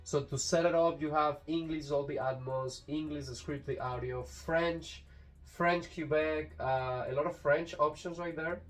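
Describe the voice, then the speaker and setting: A man's voice: a little high, steady tone, unhurried. Enthusiastic man with a Latin American Spanish accent. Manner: enthusiastic, rambling with fillers and restarts. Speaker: YouTube reviewer. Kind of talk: casual unboxing review.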